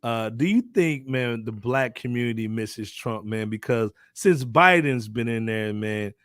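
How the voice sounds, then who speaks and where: A man's voice: mid-range voice, measured pace, fairly bright tone, no echo, clean studio sound. An American man co-hosting a podcast with casual, opinionated banter, full of slang and "man". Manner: slangy, peppers talk with "man". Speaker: podcast co-host.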